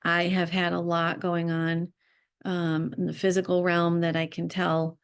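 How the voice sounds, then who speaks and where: A woman speaking off the cuff as a spiritual self-healing guide, reflective and halting, pausing often as she thinks. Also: on the low side, monotone, smooth. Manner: halting, thoughtful, with pauses. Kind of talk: unscripted reflective talk to viewers.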